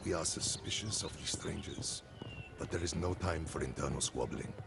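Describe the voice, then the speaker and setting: A man's voice: a bass voice, creaky. A man playing a grave, vengeful khan who solemnly narrates the story of his people in a video game. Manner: solemn, ominous, deliberate. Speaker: cutscene narrator. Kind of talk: dramatic scripted storytelling narration. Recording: music behind.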